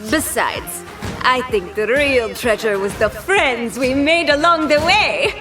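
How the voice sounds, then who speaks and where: An actress playing a bold, warm-hearted woman pirate captain in an audio drama, with a jaunty, commanding, theatrical manner. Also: high-pitched, animated, slow, music in the background. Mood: jovial. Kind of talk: scripted audio drama acting.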